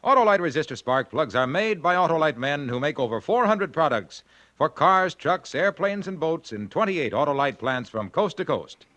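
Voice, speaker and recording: A man's voice: a little high, big pitch swings, normal speed, fairly bright tone. A man with an American accent reading 1940s radio advertising in a punchy, emphatic salesman's manner. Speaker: radio commercial announcer.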